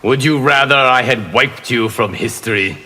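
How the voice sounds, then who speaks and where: An actor playing a stern, solemn guardian of the realms in a video game. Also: male voice, mid-range voice, normal speed, heavy background noise, close to an everyday voice. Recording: long reverb under loud music.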